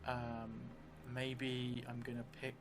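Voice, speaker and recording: A middle-aged man thinking aloud as he narrates a solo tabletop role-playing game, halting between phrases. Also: mid-range voice, monotone, noisy background. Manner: halting, pausing between phrases while writing. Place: indoor room, seated at a table. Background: music.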